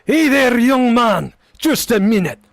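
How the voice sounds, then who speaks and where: A man's voice: extremely high pitch, animated, a little slow, gravelly, no echo, studio quality, faint music behind, full character voice. A man playing a kindly, teasing policeman in a vintage-style radio play. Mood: fatherly. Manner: fatherly, teasing. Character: kindly, amused male beat cop. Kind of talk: 1940s radio acting.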